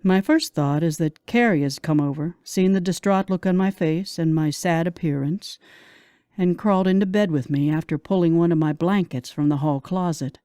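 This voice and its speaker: A woman narrates a novel in the first person with a slightly low voice. Her reading is scripted and expressive, leaning into the drama of the story. The sound is dry, with very little room around it. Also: normal speed, clear, resonant tone, no room sound. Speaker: audiobook narrator.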